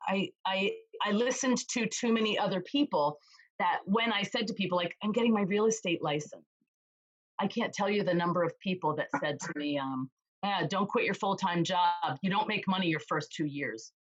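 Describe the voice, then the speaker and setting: A woman real estate agent chatting as an interview guest, with a loud voice, telling stories lively and acting out what others said. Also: lowish voice, normal speed, lo-fi sound. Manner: animated storyteller, acts out quoted voices. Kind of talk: casual interview chat.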